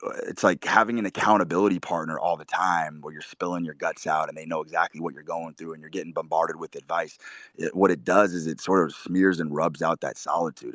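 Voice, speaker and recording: A man hosting a talk show, giving blunt, profane motivational advice in a preachy, forceful way. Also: extremely low pitch, dry recording. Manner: forceful, swears constantly, preachy. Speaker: show host.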